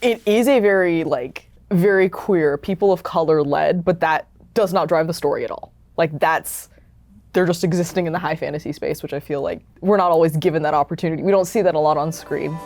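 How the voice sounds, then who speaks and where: A woman talking casually in an interview as a project's creator, expressive and peppering her speech with "like". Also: low voice, fairly quick. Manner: animated retelling with acted-out quotes, frequent "like". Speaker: creator of an audio drama.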